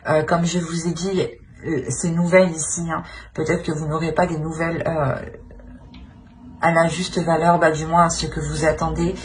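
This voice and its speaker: Woman, low voice, tarot reader, hesitant and searching, repeats phrases, faint background noise. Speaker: tarot reader, channel host. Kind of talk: unscripted tarot card reading addressed to viewers.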